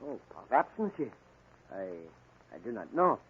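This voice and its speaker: A man acting a nervous, evasive French gentleman with a French accent, halting and obsequious, in a 1940s radio drama. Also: medium pitch, very animated. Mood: nervous, deferential. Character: nervous, evasive French novelist who collects guns. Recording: noisy tinny 1940 radio recording.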